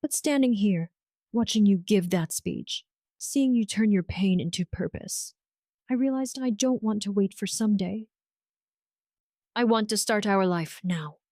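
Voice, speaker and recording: Woman voicing a mellow, devoted romantic suitor. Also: medium pitch, measured pace, no echo, clean studio sound, natural voice. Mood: earnest proposing. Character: devoted, gentle man in love, sincere suitor.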